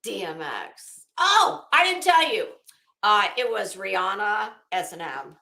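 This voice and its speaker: A woman's voice: medium pitch, sing-song, unhurried, vocal fry, pushed and bright. An American woman hosting a livestream, chatting casually with viewers in a blunt, emphatic, chatty way. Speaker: livestream host. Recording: echoey, roomy sound.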